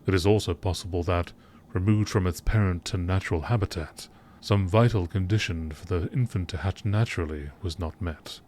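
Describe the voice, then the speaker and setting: A man reading scripted science-fiction lore entries as a narrator, in a measured, formal nature documentary style. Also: low-pitched, darkish tone, slight background noise.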